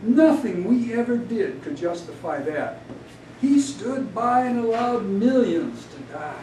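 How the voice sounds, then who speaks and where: Male poet as indignant grieving prophet, high-pitched, declamatory, accusing, epic verse recital, distant roomy recording. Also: animated, a little slow, darkish tone. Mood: indignant, aggrieved. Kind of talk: dramatic epic poetry recitation. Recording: distant roomy live recording, faint noise.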